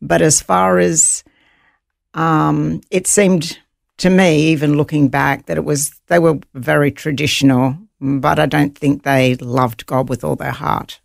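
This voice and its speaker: Woman, New Zealand accent, gravelly, dry close sound, earnest and halting with fillers. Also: low-pitched, flat delivery, no echo. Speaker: guest. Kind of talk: personal testimony interview.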